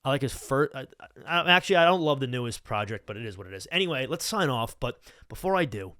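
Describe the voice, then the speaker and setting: A man's voice: medium pitch, animated, fast, pushed and bright, no room sound, clean studio sound. A young man hosting a casual podcast, rambling about music in a slangy, profane, hyped-up fan's way. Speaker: podcast host. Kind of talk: casual unscripted music chat. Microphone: close podcast microphone.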